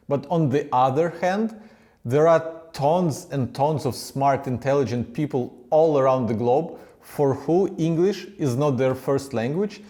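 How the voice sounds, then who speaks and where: A man's voice: a little high, mellow. A man with a strong Ukrainian accent, a non-native English speaker, giving plain, encouraging advice to the camera. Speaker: company founder sharing advice.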